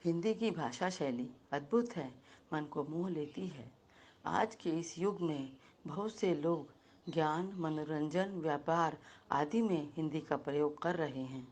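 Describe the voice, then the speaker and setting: A woman giving a recited speech in praise of a language, slow and earnest. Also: low-pitched, a little mellow. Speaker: speaker at a Hindi day event.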